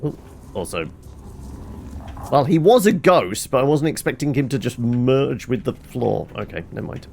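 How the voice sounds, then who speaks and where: A man's voice: mid-range voice, animated, normal speed, gravelly, darkish tone, slight background noise. A man giving casual video game commentary, rambling and thinking aloud to himself. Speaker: gameplay streamer.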